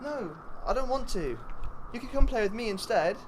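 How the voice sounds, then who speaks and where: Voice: medium pitch, animated, moderate pace, noisy background, close to an everyday voice. A performer acting in a radio drama as a small stubborn boy who protests in a childlike way. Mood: playful, defiant. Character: small tired stubborn boy fleeing with his mother.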